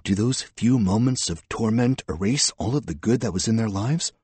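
A man's voice: lowish voice, normal speed, a little mellow, breathy edge, no echo, pitched above the performer's own voice. A man voicing a scornful, coldly relentless female police detective who is sardonic and confrontational, in an audiobook story.

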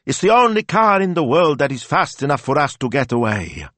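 A man's voice: a little high, big pitch swings, a little fast, a bit bright, dry recording, pitched above the performer's own voice. A man voicing a melodramatic young woman who declaims an overwrought film scene in an audiobook.

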